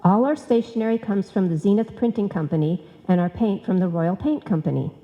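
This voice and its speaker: A woman's voice: mid-range voice, fairly quick, lo-fi sound, close to an everyday voice. An actress playing a sensible, hesitant female secretary who questions everything practical in a live old-time radio comedy. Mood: doubtful, practical. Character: sensible, doubtful female office secretary and reluctant partner. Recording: live hall recording, long reverb, music.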